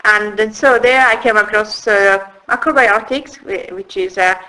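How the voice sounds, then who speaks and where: A woman in her forties with an Italian accent, telling her life story in a halting way, with restarts and soft laughs. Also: medium pitch, low-quality recording. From Italy. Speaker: interviewee. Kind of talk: personal life story in conversation.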